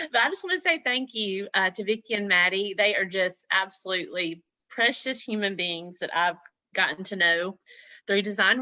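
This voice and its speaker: A woman's voice: medium pitch, pushed and bright, no room sound. An older woman with a Southern US accent, a guest speaker chatting on a video call, laughing often. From Southern US (Mississippi, Alabama). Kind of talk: casual talk on a video call. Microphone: laptop on a video call.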